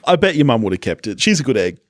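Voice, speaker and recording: Lively, enthusiastic man in a dry-sounding recording. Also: medium pitch, fast talker, no room sound. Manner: enthusiastic, jokey. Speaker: podcast co-host. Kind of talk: casual film discussion.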